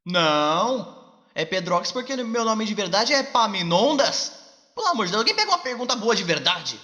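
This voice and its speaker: A Brazilian man acts out a cocky boy deity from a web cartoon. He talks in brash slang and curses freely, his voice sitting fairly high and leaping about with sarcasm. Music plays clearly behind him. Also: very animated, moderate pace, pushed and bright, in a large hall, heavily acted. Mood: exasperated. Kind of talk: animated comedy question-and-answer dub.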